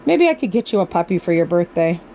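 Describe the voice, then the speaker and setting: A woman voicing an eager, excitable young boy in a children's storybook, in an animated, exclaiming way. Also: lowish voice, fast talker, a little hiss or hum. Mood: excited, urging. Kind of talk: children's storybook character reading.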